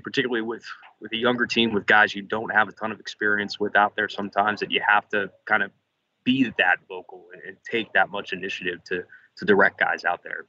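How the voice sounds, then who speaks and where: Fast, bright-voiced male reporter. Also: lowish voice, lo-fi sound, slight background noise. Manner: long, winding question with restarts. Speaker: sports reporter. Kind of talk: asking a press conference question.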